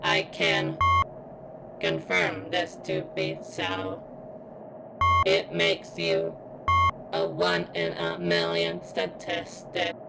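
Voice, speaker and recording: A woman voicing a damaged female surveillance robot in an ASMR roleplay, speaking in formal, clinical, halting phrases with a strained, pained delivery. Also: very deep voice, very animated, creaky, very noisy, full character voice. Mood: curious, guarded. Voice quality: strained, as if in pain. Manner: formal, clinical, stilted wording with halting pauses. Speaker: voice actor in audio roleplay. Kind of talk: ASMR roleplay, scripted character monologue.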